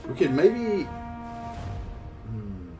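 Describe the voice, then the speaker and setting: An Australian man chatting casually on a live game stream, rambling and halting, with some swearing. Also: medium pitch, wildly swooping pitch, dark tone. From New South Wales, Australia. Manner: rambling, halting, foul-mouthed. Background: faint game music.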